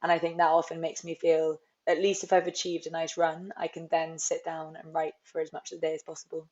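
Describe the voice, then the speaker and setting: Young london woman, author guest, thoughtful and rambly, lowish voice, kinda muffled audio. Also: monotone, fairly quick, a little mellow. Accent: London English. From London, England. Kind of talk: reflective interview talk. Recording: slightly dull, lo-fi audio.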